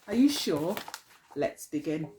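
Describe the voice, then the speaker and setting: A woman's voice: low-pitched, sing-song, slow, warm tone, slight background noise. A woman teacher telling a story expressively to young children, phrasing carefully and putting questions to them. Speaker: early years teacher, storyteller.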